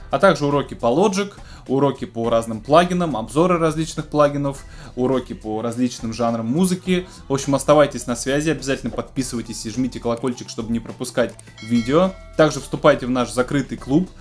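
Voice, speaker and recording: Male host, thirties, mid-pitched, conversational explainer of music tech news, music underneath. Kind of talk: casual news presenting to camera. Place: small home music studio, indoors.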